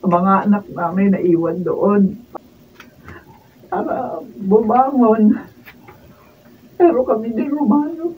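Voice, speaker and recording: A woman's voice: mid-range voice, distant mic, phone-quality sound, slight background noise. A woman playing a devastated, remorseful wife and mother who lost everything, in an emotional Filipino radio drama style. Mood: sorrowful. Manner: emotional, melodramatic delivery. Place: radio drama recording session.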